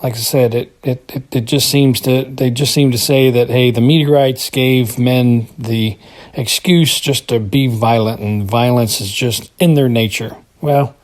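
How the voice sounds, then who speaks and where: A man's voice: medium pitch, steady tone, measured pace. An American man giving casual monologue commentary, rambling and hesitant as he thinks aloud. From United States. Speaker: podcast contributor reviewing a TV episode.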